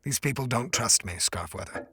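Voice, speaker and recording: Obsessed scientist in a radio drama, mid voice, intense n formal, earnest, slight noise behind. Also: male voice, mid-range voice, lively intonation, moderate pace, pushed and bright. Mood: weary, bleak. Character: earnest, obsessed male scientist stranded in another dimension. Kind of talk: audio drama acting.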